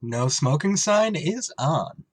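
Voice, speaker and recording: A man's voice: fairly high pitch, sing-song, slow pace, no echo, full character voice. A man acting a wry, duty-bound shuttle pilot in a scripted retro radio drama. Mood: wry, dutiful. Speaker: actor and first-person narrator in an audio drama. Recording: clear background noise.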